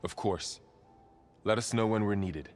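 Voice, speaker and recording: Man playing a playful, low-voiced loyal companion. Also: unhurried, close to an everyday voice. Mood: eager. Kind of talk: video game cutscene acting. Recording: background music under the voice.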